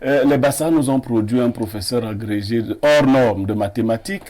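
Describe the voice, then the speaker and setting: A man's voice: mid-range voice, moderate pace, darkish tone. Cameroonian man, proud and expansive. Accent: Cameroonian French. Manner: expansive, listing names with playful pride. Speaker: panel guest. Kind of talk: studio debate chat. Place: TV studio.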